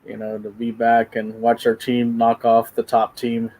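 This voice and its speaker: A man's voice: mid-range voice, completely flat delivery, measured pace, a little mellow. A man, co-hosting a casual soccer talk and often repeating words as he starts. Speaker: co-host.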